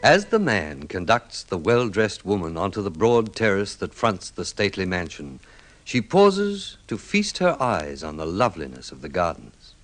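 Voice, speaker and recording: A man's voice: low voice, huge pitch swings, moderate pace. A man narrating a scripted old-time radio drama in a storytelling style. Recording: vintage radio recording over music.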